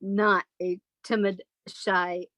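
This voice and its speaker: A woman actor in casual banter, speaking in a wry, halting way. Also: mid-range voice, flat delivery, fairly bright tone, no echo. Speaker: actress in a theatre company.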